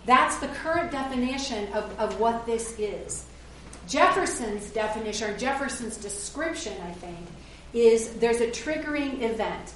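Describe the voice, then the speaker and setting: A middle-aged woman pastor delivers an earnest, conversational sermon with a slightly high voice, now and then stopping to fix a word. She is picked up from some distance away in a church with heavy, ringing echo. A faint hiss sits under her voice. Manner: earnest, conversational preaching with self-corrections. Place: church sanctuary. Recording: roomy echo, heard from a distance.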